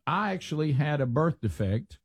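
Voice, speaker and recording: A man, hosting a radio show and telling stories casually, animated, often repeating himself. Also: a little high, normal speed, breathy edge, dry recording, clean studio sound. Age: 60s. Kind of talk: casual storytelling and banter.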